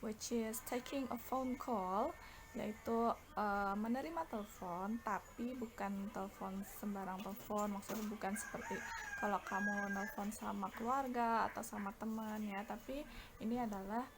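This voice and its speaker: A woman with an Indonesian accent explaining a bilingual lesson as an English teacher, often stretching out her words. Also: mid-range voice. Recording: clear background noise.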